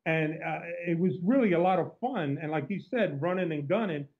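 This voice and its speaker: A man's voice: a little high, normal speed. Cuban-accented man on a tinny line. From Cuba. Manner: outgoing, storytelling, frequent restarts. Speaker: guest, former undercover agent. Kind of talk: interview conversation.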